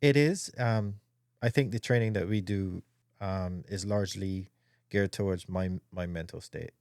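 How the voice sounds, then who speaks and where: A man, a guest speaking casually in an interview, soft-spoken and hesitant with many ums and repeated words. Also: deep voice, unhurried, smooth, no room sound, studio quality. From Barbados. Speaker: guest, para-bobsleigh athlete.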